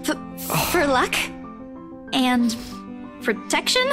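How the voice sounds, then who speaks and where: A woman's voice: sits high, sing-song, extremely slow, fairly bright tone, exaggerated voice. An actress playing a timid, apologetic young woman in a visual novel game, hesitant and stammering. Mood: hesitant, sheepish. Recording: music behind the voice.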